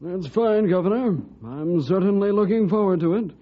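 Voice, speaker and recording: Highish-voiced, courteous official. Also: male voice, moderate pace. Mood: gracious, pleased. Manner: polite, formal. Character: courteous, dignified male government secretary. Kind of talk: old-time radio drama acting. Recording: thin, phone-like old radio recording.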